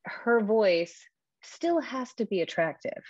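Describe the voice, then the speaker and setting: A woman's voice: a little high, very animated, slow, darkish tone, slightly rough, dry recording, muffled recording. A woman talking casually in an interview about casting audiobook narrators, thinking aloud with fillers.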